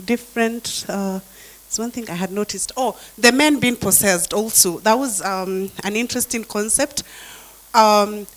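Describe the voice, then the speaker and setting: Woman translator on a video call, soft and hesitant, plenty of fillers. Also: medium pitch, low-quality recording. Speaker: workshop participant, translator. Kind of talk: casual discussion on a video call.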